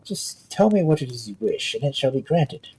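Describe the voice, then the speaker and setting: A man's voice: medium pitch, very animated, normal speed, a little mellow, slightly rough, low-quality recording. A man voicing an earnest, humble young lad in a storybook folk tale. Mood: grateful.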